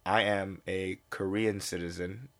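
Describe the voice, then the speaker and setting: A man's voice: low voice, steady tone, measured pace. An American-born man in casual podcast chat, joking and swearing freely. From United States.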